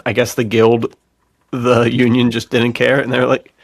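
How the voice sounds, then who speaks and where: Casual, slangy man with gravelly creak. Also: medium pitch, completely flat delivery, normal speed, no echo. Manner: slangy, enthusiastic, frequent chuckles. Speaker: podcast co-host. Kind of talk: casual podcast chat.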